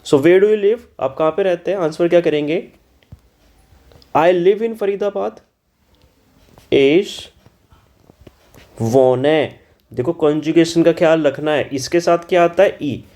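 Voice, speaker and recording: A man's voice: on the high side, dark tone. A man teaching German in Hindi, going through an exam paper and scolding students for common mistakes. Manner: spells out German words slowly. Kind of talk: exam-solution lesson in Hindi.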